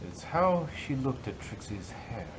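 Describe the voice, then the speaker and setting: A man's voice: mid-range voice, sing-song, unhurried. A man voicing a refined female pony, worried and caring, in a fan-fiction audio drama with a noisy recording.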